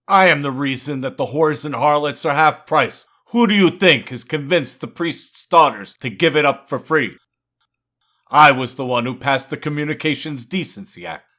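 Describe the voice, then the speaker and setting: A man's voice: on the high side, pushed and bright. A man voicing a boastful, defiant god of wine and excess in a grandiose, insistent poetry recital.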